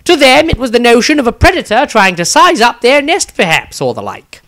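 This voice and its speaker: A man's voice: extremely high pitch, big pitch swings, fairly quick, fairly bright tone, pitched above the performer's own voice, heavily acted. A man voicing an eccentric, grumbling wizard diarist in a fantasy story, theatrical, rambling and wry. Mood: indignant, chatty. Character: eccentric, grumbling, self-satisfied male wizard adventurer diarist.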